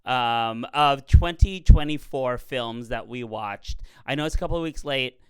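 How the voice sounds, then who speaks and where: A man with a cold, his voice slightly raspy and hoarse yet still smooth and clean. He chats in a campy, lively way with quick asides. The sound is dry and close. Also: medium pitch, no echo, poor audio. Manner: chatty, campy, fast asides. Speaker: podcast host. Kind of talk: casual chat.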